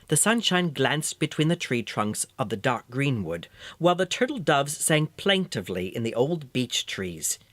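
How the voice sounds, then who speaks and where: A male storyteller reads a fairy tale with measured, expressive delivery. His pitch is medium and swings widely from phrase to phrase. The recording is close and dry. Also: no room sound. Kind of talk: scripted fairy tale narration.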